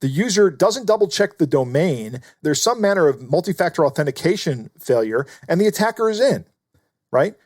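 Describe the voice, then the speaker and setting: A man's voice: fairly high pitch, sing-song, normal speed, a little mellow, no room sound. Guy co-hosting a podcast, talking through his points earnestly, super dry recording. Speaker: podcast co-host. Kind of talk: conversational commentary.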